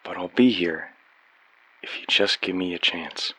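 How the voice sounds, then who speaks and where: A man's voice: sits low, unhurried, a bit bright, breathy edge, exaggerated voice, poor audio. A man playing an earnest, lovestruck young painter in a radio play, plain-spoken and sincere. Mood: earnest, pleading. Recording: faint background hiss.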